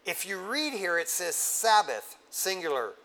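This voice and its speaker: A man's voice: sits high, a little slow. A man preaching a sermon, explaining scripture step by step and checking in with his listeners with casual asides. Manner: animated teaching with asides to the congregation. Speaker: pastor.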